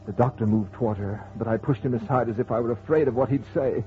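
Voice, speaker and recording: A tinny vintage radio horror play with music under it. A male actor plays a haunted husband telling his ghostly tale in an overwrought, theatrical way, full of grief and dread, at a middling male pitch. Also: fast talker, natural voice. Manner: dramatic, theatrical delivery. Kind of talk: old-time radio horror acting.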